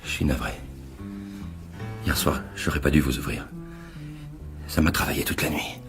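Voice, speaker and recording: An actor playing a disturbed killer in a radio thriller, hesitant and repetitive, apologising with soft menace and obsession in a breathy, unsteady voice. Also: male voice, very deep voice, moderate pace. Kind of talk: French radio thriller acting. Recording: music behind the voice.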